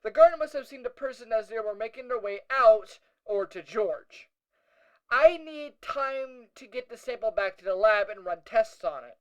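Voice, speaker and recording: A special ops squad leader, voiced by a performer in a mid-pitched, androgynous voice, narrates an audio log. The delivery is measured and matter-of-fact, like a field investigator setting down an incident report in a scripted audio drama. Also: expressive, lightly acted, poor audio. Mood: puzzled, resolute.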